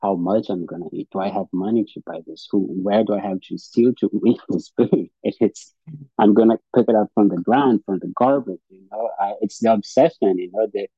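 A man's voice: lowish voice, a little fast, slightly rough, no echo. A man from Brazil with a Brazilian Portuguese accent, speaking at a recovery meeting. He gives a personal testimony and reads aloud, stumbling, repeating words and often restarting. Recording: dull lo-fi dry audio.

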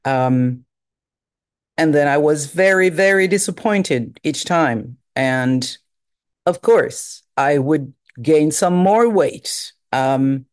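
French-accented speaker, fifties, unhurried pace, soft-spoken, candid personal testimony. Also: mid-range voice. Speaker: recovery group member.

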